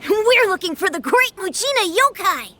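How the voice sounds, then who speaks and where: A woman's voice: extremely high pitch, sing-song, normal speed, bright, forceful tone, a little hiss or hum, full character voice. A woman voicing a small, bold and stubborn child in an anime video game, brash and insistent. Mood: eager.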